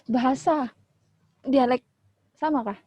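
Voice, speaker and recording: A woman's voice: high-pitched, slow, breathy edge, dry recording. A woman, agreeably chiming in with brief casual responses during a lesson. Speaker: participant in an online lesson. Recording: dull dry lo-fi audio.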